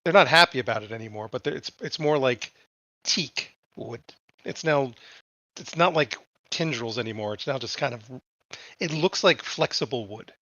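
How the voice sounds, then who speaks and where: A man's voice: mid-range voice, expressive, moderate pace, sharp tone, dry recording, dull audio. A man playing a tabletop game, talking casually and stammering over his words. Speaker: player.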